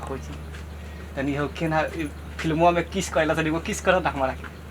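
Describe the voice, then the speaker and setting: Man playing a high-pitched, pleading young village lad. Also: lively intonation, a little slow, pushed and bright, a little hiss or hum, full character voice. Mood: wheedling. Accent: Bhojpuri. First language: Bhojpuri. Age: young adult. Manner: wheedling, boastful, repetitive. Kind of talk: comedy skit dialogue.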